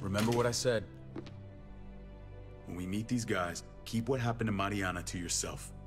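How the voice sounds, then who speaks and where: A man's voice: fairly low pitch, moderate pace, close to an everyday voice. An actor playing a hard-bitten, short-tempered man in a video game, blunt and commanding in his delivery. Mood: commanding, terse. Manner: blunt, clipped, profane, commanding. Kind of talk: naturalistic dramatic game acting. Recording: long reverb, music under the voice.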